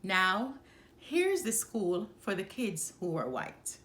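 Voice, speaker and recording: A woman reading a children's picture book aloud as a storyteller, expressively and with measured, emphatic phrasing. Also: medium pitch, huge pitch swings, a bit bright, slight background noise.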